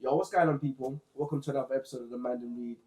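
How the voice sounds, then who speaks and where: A man from Zimbabwe hosting a casual book discussion podcast, talking in a relaxed way with frequent restarts. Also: mid-range voice, reverberant, background noise. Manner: relaxed, halting with repeated restarts. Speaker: podcast host.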